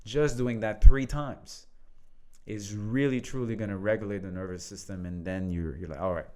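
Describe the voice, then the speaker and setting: A man with a French accent talking in a relaxed, reflective way about mindset and leadership, laughing now and then. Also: on the low side, moderate pace. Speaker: co-host, coach. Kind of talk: casual conversation.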